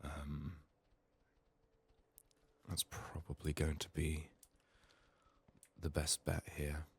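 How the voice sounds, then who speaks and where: A soft-spoken man murmuring low-key ASMR game commentary, thinking aloud in a slightly sick, strained voice. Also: monotone, very slow, vocal fry, breathy edge. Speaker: ASMR gaming YouTuber. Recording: faint background noise, clicking.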